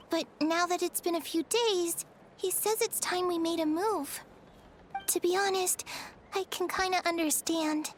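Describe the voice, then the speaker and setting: A woman voicing a gentle, unsure little girl in a fantasy game, speaking in an earnest, hesitant, childlike way. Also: extremely high pitch, slight background noise.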